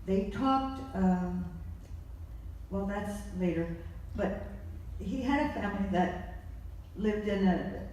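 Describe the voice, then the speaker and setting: Soft-spoken panelist in an echoey hall. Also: medium pitch. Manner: soft-spoken, halting, self-deprecating storytelling. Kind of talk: live panel discussion. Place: large museum hall. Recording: echoey hall from far off, faint noise.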